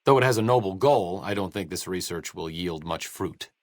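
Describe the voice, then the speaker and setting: A man playing a wry, low-voiced bookish doctor. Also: normal speed, dry recording, lightly acted. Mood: self-deprecating. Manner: sardonic, erudite, dry understatement. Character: wry, bookish, self-deprecating male doctor and researcher. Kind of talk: video game character dialogue.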